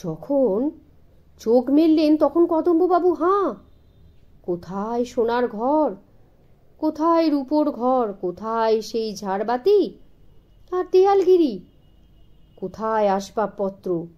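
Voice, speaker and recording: A woman narrating a children's story with dramatic expression and deliberate pauses. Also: high voice, expressive, dull audio.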